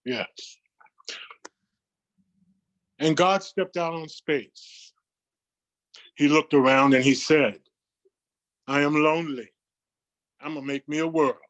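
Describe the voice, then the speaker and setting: A man playing a grand reverend, reciting a poem like a sermon with deliberate, emphatic storytelling. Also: a little high, fairly even intonation, fairly bright tone, no room sound, dull audio. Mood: grand, reverent. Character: grand male reverend comforting his congregation with poetry. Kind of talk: dramatic sermon-like poetry recitation.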